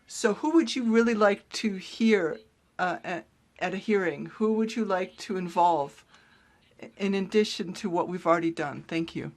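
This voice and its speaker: A woman giving a live interpretation of a speech, her delivery halting and broken into short phrases. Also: mid-range voice, darkish tone. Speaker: simultaneous interpreter.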